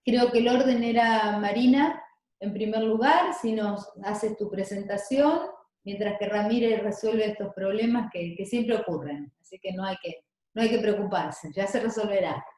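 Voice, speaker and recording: A woman moderating a video meeting, relaxed and reassuring, with hesitant restarts. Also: medium pitch, normal speed. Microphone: video call. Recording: very echoey room on a call.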